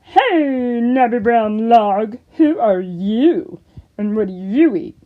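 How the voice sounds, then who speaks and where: A woman voicing a brash, boastful big-mouthed frog puppet for a children's storytime, loud and drawn out. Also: a little high, animated, phone-quality sound. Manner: loud, drawn-out, shouting questions.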